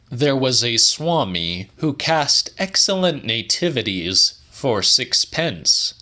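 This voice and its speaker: A man reading literary fiction as an audiobook, with deliberate, precise and slightly dramatic delivery. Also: on the low side, pushed and bright. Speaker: audiobook narrator.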